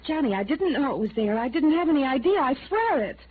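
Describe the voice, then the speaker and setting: An actress playing an anxious, pleading young heroine in an old-time radio melodrama, earnest and emotional, with a breathless delivery. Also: female voice, high-pitched, sing-song, quick pace. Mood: shocked pleading. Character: anxious, pleading young female love interest. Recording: narrow-band vintage radio recording.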